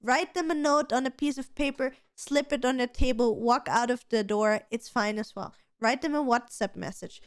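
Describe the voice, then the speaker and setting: A woman's voice: a little high, normal speed, few pauses. A woman co-hosting a casual podcast, chatty and giving advice, with a slight stutter and many restarts. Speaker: podcast co-host.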